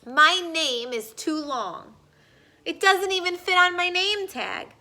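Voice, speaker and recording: A woman's voice: very high voice, takes it slowly, pushed and bright, pitched above the performer's own voice, full character voice. A woman voicing a sensitive little girl mouse in a picture book, earnest, plaintive and exclamatory. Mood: upset, complaining.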